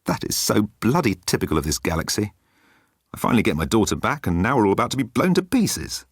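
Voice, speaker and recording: A man's voice: fairly low pitch, big pitch swings, fast talker, breathy edge, dry recording, clean studio sound. A man voicing a hapless, weary man in an audiobook, with a plaintive, exasperated manner. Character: hapless, weary, grumbling English everyman.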